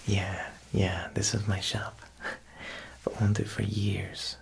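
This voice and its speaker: A man's voice: fairly low pitch, level tone, slow pace, creaky. A young man whispering an ASMR roleplay as a joking, faintly menacing Italian mob boss tailor, with wiseguy turns of talk. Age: young adult. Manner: wiseguy talk, frequent "huh" tags. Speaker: ASMR performer playing a tailor.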